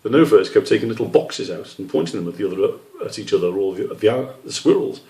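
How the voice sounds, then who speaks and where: A man's voice: on the low side, lively intonation, fast, mellow. A man reading aloud from his own children's book as a storybook narrator. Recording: roomy echo, distant microphone.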